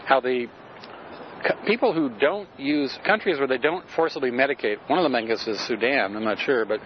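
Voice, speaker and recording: A man's voice: mid-range voice, fairly quick, highs cut off, slight background noise. Dry, teasing male radio interviewer. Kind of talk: street interview.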